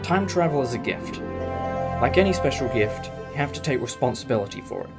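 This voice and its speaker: A man's voice: medium pitch, lively intonation, fairly quick, natural voice. Breezy, teacherly time-traveller played by a man, over music. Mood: reassuring, wise. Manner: explanatory, musing, teacherly. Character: male time-travelling alien mentor, breezy, thoughtful and reassuring. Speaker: actor in fan audio drama. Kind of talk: audio drama acting, conversational explaining.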